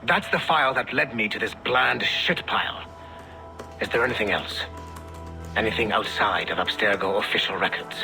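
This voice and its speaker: An actor playing a stern, businesslike field agent in a game audio log, speaking in a formal, clipped, matter-of-fact way. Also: male voice, lowish voice, normal speed, gravelly. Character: stern, businesslike male Templar field agent hunting assassins. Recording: music under the voice.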